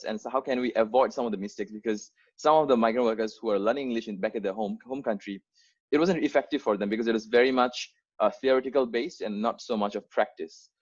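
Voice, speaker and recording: A young Bangladeshi-born man with a lowish voice speaks earnestly, often restarting his sentences. His English carries a first-language influence. The sound is muffled and lo-fi, like video call audio. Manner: earnest, frequent fillers and restarts. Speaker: founder giving an onboarding talk. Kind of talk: unscripted presentation to volunteers.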